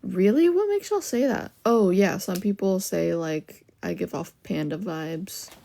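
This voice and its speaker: Gravelly low-voiced young woman livestreaming. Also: lively intonation, measured pace, dark tone. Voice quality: lower voice for a woman. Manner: chatty, loose, with frequent "like" fillers. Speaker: livestream host. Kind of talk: casual live-stream chat with viewers.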